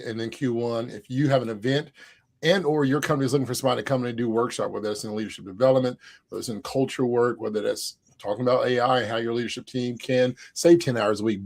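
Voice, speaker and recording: A man's voice: medium pitch, a little mellow. A man co-hosting an online HR event over a video call, in an upbeat, joking, casual manner with frequent fillers. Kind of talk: casual livestream chat.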